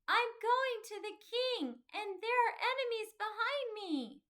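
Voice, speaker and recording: A woman voicing a quiet, thoughtful young pilgrim boy in a children's storybook, speaking earnestly and plainly. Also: extremely high pitch, lively intonation, slow, voice pushed up, exaggerated voice. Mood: firm, urgent.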